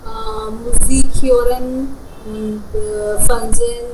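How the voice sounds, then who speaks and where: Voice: high-pitched, very animated, takes it slowly. A German learner in their twenties from India, a native Hindi speaker, introducing themself hesitantly with many fillers over a video call. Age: 20s. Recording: echoey, distant call audio with clear noise.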